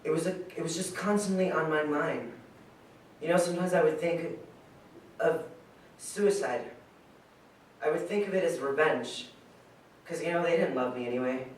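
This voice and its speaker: Actor as troubled student in echoey hall. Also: male voice, on the high side, normal speed, long pauses, close to an everyday voice. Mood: anguished confiding. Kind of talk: staged reading monologue. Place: live staged reading in a large room. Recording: echoey, noisy hall from far off.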